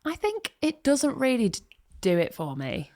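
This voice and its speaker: A woman's voice: high-pitched, huge pitch swings, normal speed, darkish tone. A woman co-hosting a podcast, chatting casually and telling a story with frequent giggles. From United Kingdom. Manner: giggly, storytelling, laughs often. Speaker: podcast co-host. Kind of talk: casual chat.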